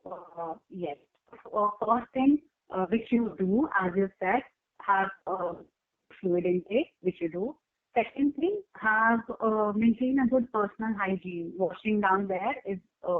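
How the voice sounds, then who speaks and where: A woman role-playing a doctor in a practice exam, explaining advice haltingly with many fillers. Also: mid-range voice. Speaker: doctor candidate in a role-play. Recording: tinny phone line.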